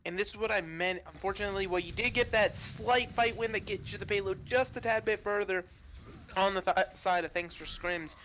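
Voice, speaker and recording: Bright-voiced man giving esports analysis. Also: high-pitched, a little fast, slight background noise. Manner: relaxed analytical breakdowns. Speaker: color commentator.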